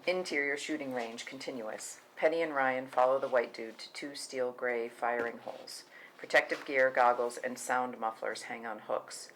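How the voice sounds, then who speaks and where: Medium-pitched man reading screenplay action. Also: steady tone, moderate pace, fairly bright tone, a little hiss or hum, low-quality recording. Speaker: stage-direction narrator. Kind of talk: scripted narration, screenplay table read.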